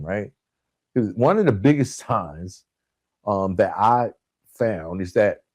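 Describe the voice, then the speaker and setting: A man's voice: medium pitch, darkish tone, no room sound. A man hosting a podcast, giving a motivational coaching monologue, repeating key points for emphasis and often checking in with his listeners. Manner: emphatic repetition, checks in with "right?" and "guys". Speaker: podcast host.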